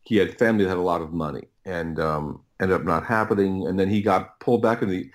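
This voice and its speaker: Low-voiced middle-aged man, halting storyteller. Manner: halting, repetitive, rambling anecdotes with self-interrupted phrases. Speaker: podcast guest, writer and audio producer. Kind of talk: casual interview storytelling.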